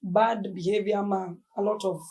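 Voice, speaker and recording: A woman's voice: medium pitch, moderate pace. A young Kenyan woman with a Kenyan accent, a podcast guest in casual chat, easily mixing slang and English. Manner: relaxed, mixes Swahili slang and English.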